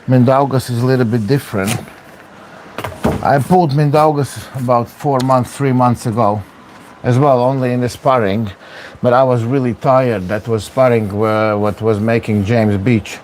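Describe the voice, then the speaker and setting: A man's voice: medium pitch, steady tone, normal speed, darkish tone, slight background noise. A man from Latvia, an arm wrestler talking casually to viewers in broken English while he trains. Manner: broken English, chatty, talks through exertion.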